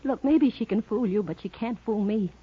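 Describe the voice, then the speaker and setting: An actress with an American accent playing a desperate, jealous wife in a 1950s radio drama, insistent and emotional. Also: female voice, a little high, moderate pace, husky. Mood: accusing. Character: desperate, jealous young wife, pleading and accusing. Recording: muffled, lo-fi 1950s radio recording.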